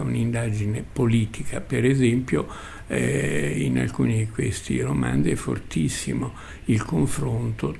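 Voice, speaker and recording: An older man reminiscing as a radio host, speaking in a halting, reflective way with repetitions and long hesitations. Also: mid-range voice, gravelly, darkish tone, slight background noise. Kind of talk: spoken reminiscence, literary commentary.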